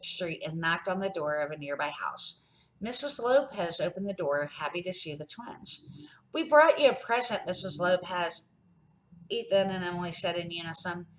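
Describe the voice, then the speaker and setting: Animated middle-aged or older woman reading stories. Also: lowish voice, slight background noise, low-quality recording. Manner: amateur, deliberate read-aloud. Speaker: storybook reader and series host. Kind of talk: children's story narration.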